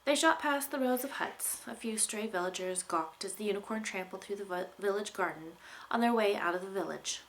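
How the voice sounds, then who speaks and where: A woman with a mid-pitched voice reads fiction aloud as its author. Her delivery is scripted story narration, expressive throughout. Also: brisk, a little hiss or hum, rough recording. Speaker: author reading from own novel.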